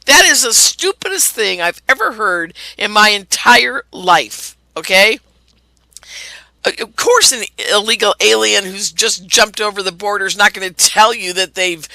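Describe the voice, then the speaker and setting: A hoarse woman host, losing her voice, delivers an exasperated, indignant rant to her listeners. Her tone is gravelly and fry-heavy, yet very bright and tense. The sound is dry and close. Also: on the high side, no echo, low-quality recording. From California. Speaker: radio show host. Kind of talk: ranting monologue to listeners.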